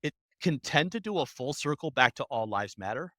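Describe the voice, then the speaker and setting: An adult interview guest speaking in animated, emphatic bursts. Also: medium pitch, moderate pace, dry recording, clean studio sound. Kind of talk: conversational interview.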